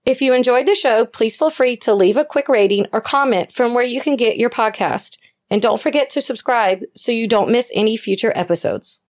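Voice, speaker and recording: A woman reading a show announcement in a friendly, even delivery. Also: a little high, fairly quick. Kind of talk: read announcement.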